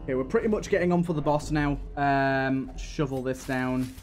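A man's voice: mid-range voice, normal speed, a little mellow, soft background music. A man hosting a casual let's play, talking to the game's characters and repeating his phrases as he goes. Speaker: gaming host.

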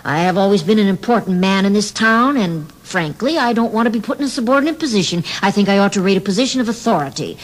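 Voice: medium pitch, animated, lightly acted. A performer playing a pompous headstrong official. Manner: self-important, rushed. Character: proud, headstrong male town official.

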